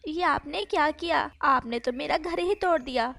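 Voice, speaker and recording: A woman voicing a small, gentle female bird in a cartoon story, meek and plaintive. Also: high voice, normal speed, gravelly. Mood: hurt.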